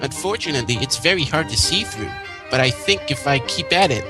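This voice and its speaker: Casting: brash, sporty female cartoon pony played by a man, raised pitch, breezy delivery, violin music behind. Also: animated, a little fast, natural voice. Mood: breezy, confident.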